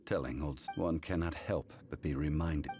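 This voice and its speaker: An actor playing an ancient god in mortal guise for a video game, calm, solemn and erudite, speaking in measured, formal phrases. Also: male voice, extremely low pitch, a little hiss or hum. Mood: solemn, reflective. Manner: measured, formal, grave storytelling. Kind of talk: English video game voice acting. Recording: studio voice over game music.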